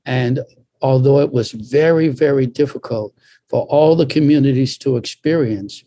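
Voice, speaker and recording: A man's voice: medium pitch, moderate pace, warm tone, no room sound. An older American man from Boston earnestly sharing personal recollections as a panelist in a discussion. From Boston, United States.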